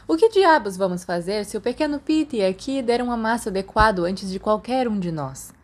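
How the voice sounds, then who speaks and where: A man's voice: high voice, expressive, fairly quick. A woman reading a storybook voices a cocky, teasing teenage wizard schoolboy with a mock-solemn manner.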